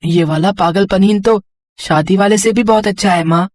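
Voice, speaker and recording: A woman's voice: medium pitch, normal speed, a little mellow, close to an everyday voice. A woman voicing an eager, innocent little village boy with childlike pleading in a cartoon story. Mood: eager, happy.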